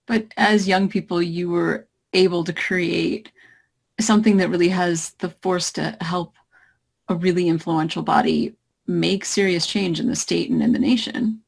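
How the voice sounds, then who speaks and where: A woman moderating a panel, asking the guests questions in a measured, inquisitive way. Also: fairly low pitch, fairly even intonation, creaky.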